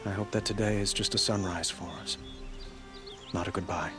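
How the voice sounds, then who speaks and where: A man performs a noble ruler in a game cutscene. The voice is on the low side, with formal, courtly phrasing and gracious earnestness. Music runs underneath. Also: fairly even intonation, moderate pace, natural voice. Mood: gracious, wistful. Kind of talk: cinematic video game acting.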